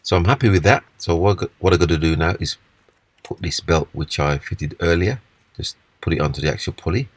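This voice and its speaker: A man with a husky voice giving a casual, unscripted, step-by-step walkthrough of hands-on repair work. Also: sits low, fairly quick, dry recording. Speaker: repair demonstrator.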